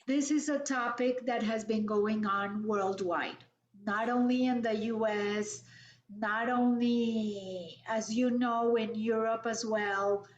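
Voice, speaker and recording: Slow-talking woman host on video call. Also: on the high side, steady tone. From Colombia. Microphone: video call webcam. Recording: echoey, dull webcam audio.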